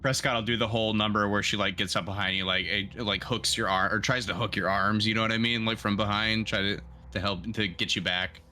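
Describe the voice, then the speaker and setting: A man's voice: on the low side, steady tone, brisk, few pauses, bright, forceful tone, a little hiss or hum. A man casually describing his character's actions as a tabletop game player.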